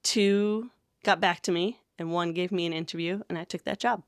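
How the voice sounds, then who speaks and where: Low-pitched American woman, close dry sound. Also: steady tone, normal speed, no room sound. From Connecticut, USA. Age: 30s. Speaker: podcast guest, campus ministry worker. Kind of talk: casual interview storytelling.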